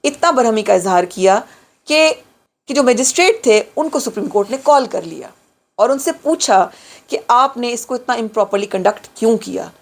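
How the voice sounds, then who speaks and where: A middle-aged Pakistani woman giving an explanatory lecture, emphatically repeating and rephrasing key points and mixing in English phrases. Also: medium pitch. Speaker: lawyer giving a law lecture.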